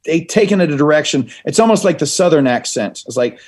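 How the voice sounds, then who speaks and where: A man's voice: a little high, lively intonation, fast, darkish tone. A middle-aged man, a comedy writer and actor, chatting as a podcast guest in a rambling, self-interrupting way with dry jokes.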